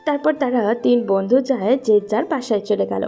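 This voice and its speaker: A woman's voice: a little high, normal speed, vocal fry, mellow, faint music behind. A woman narrating a scripted romantic story as a storyteller.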